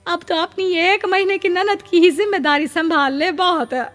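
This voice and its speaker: A woman's voice: very high voice, measured pace, a bit bright, voice pushed up. A woman voicing a nosy, meddlesome neighbour who teases in a familiar, taunting way. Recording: long reverb, music bed.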